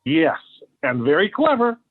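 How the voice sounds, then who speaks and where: A man's voice: on the high side, sing-song, a little slow. An actor playing a jovial, conniving middle-aged American father, eager and folksy, in 1940s radio sitcom style. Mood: gleeful. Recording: tinny, band-limited old radio recording.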